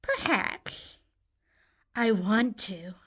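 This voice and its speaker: Nerdy boy necromancer played by a woman, slightly high-pitched, pompous, bitter, theatrically menacing audio drama villain. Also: sing-song, extremely slow, fairly bright tone, husky, heavily acted. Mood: smug, bitter.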